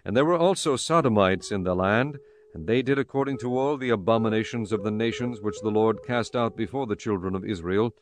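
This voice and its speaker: A man narrating scripture from a script in a measured, solemn manner. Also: fairly low pitch. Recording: music bed behind the voice.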